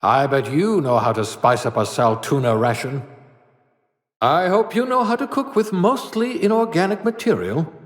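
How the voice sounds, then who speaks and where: An actor voicing an eccentric older mad scientist in a video game, sardonic and theatrical, given to wry muttered asides. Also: male voice, fairly high pitch, moderate pace, close to an everyday voice. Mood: sardonic musing. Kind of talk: video game character voice acting. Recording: echoey hall-like reverb.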